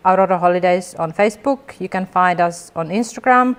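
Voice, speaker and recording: A woman from South Finland whose first language is Finnish, a tourism business owner chatting outdoors in a relaxed, matter-of-fact way with a light humor. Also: fairly low pitch, fairly quick. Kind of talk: casual interview chat. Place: outdoors by a river in Lapland.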